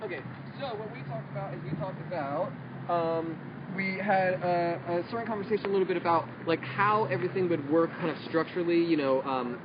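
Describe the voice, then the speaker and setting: Halting man reporting to an outdoor meeting. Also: high voice. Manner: halting, with many fillers and restarts. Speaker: group spokesperson at a meeting. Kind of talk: casual report at a public assembly. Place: outdoors at a street protest assembly. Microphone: phone recording from the crowd. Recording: muffled phone audio with street noise.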